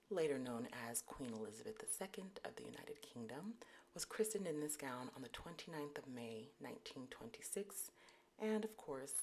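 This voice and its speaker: A woman narrating royal history in a soft, whispery, deliberately lowered serious voice. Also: slight background noise. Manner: deliberately low, put-on serious voice.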